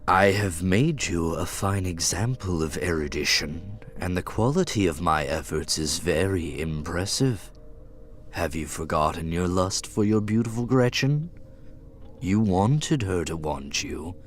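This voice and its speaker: A man playing a sly, menacing devil in an audiobook, with low, formal and deliberate speech. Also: expressive. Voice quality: low, growling utterance. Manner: formal, deliberate, archaic phrasing. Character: hooded devil, menacing, sly, resentful beast. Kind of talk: dramatized audiobook acting.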